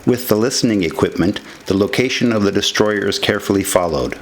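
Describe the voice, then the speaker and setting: A man narrates in a scripted documentary style. His voice sits on the low side. Music plays clearly underneath his words throughout. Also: fairly quick.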